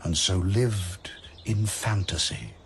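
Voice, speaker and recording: A man with a fairly low voice narrates epic verse. His delivery is slow, grand and theatrical, with lively swings in pitch. Music plays behind him. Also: gravelly, slight background noise.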